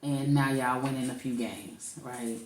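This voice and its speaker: A woman's voice: extremely low pitch, a little slow, reverberant, a little hiss or hum. A woman co-hosting a podcast in casual chat, playful and teasing. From St. Louis, Missouri. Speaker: podcast co-host.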